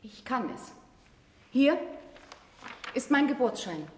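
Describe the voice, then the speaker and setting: An actress playing a young noblewoman, a grave and resolute witness, speaking in measured formal phrases in a radio drama. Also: high-pitched, sing-song, slight background noise, full character voice. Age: young adult. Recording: echoey hall with long reverb.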